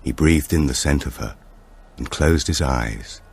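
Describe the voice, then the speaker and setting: A man reading a thriller audiobook in a measured, dramatic storytelling manner. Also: very deep voice, creaky, a little hiss or hum. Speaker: audiobook narrator. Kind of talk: scripted thriller narration.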